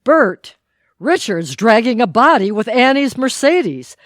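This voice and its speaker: A woman's voice: fairly high pitch, sing-song, measured pace. A woman playing a nosy, suspicious neighbour with a gossipy, dramatic delivery in an audio theatre comedy.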